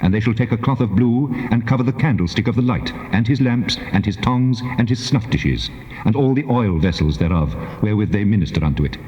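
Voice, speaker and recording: A man plays a solemn, commanding deity, declaiming scripture in measured phrases. The low voice rises and falls expressively, ringing in a long, echoing reverb on a dull tape. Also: animated, darkish tone, close to an everyday voice. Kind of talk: dramatized King James Bible reading.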